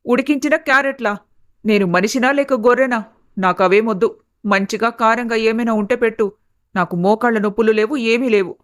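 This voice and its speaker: A woman playing a grumbling, whiny middle-aged mother-in-law in an animated family story. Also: a little high, moderate pace, no room sound, clean studio sound, close to an everyday voice. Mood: indignant. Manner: whiny, complaining.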